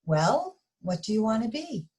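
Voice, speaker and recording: A woman voicing a caring, excitable mother yeti in a storybook, very expressive. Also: fairly high pitch, a little slow, recorded from a distance, voice pushed up.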